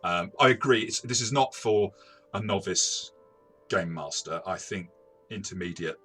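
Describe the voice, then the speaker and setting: A man in a conversational game review, speaking in a hesitant, halting way and often correcting himself. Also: deep voice, fairly bright tone, faint music behind. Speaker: game reviewer and experienced game master.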